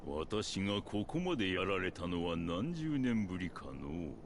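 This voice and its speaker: A man voicing a menacing old dark wizard villain in an anime game, declaiming in a haughty, archaic and theatrical way. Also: sits low, very animated, fast talker. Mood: haughty, amused. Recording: loud game music over the voice. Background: loud game music.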